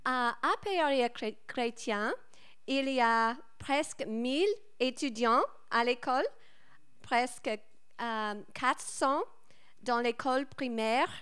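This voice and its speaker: An American woman with English as her first language and an American accent, giving a careful, halting greeting to a church. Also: a little high, fairly bright tone, smooth. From United States. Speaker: French teacher leading a visiting team.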